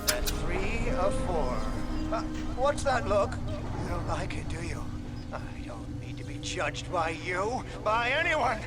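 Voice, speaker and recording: A man's voice: sits high, big pitch swings, slow pace, loud music behind the voice, heavily acted. An actor playing a flamboyant, volatile older male artist in a video game, with a florid, grandly pompous manner. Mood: brooding, suspicious. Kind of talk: video game villain acting.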